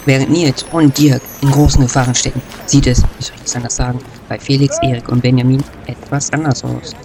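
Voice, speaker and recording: A wry, chatty storyteller played by a man narrating an amateur audio drama. His voice is gravelly and even, with little movement in pitch. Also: fairly quick, crowd noise, natural voice.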